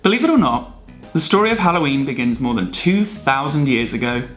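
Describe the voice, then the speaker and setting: A male co-host narrates a scripted explainer in a jokey, theatrical way. His pitch is average but swings about in a lively fashion, and music plays behind him. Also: a little fast. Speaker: video co-presenter.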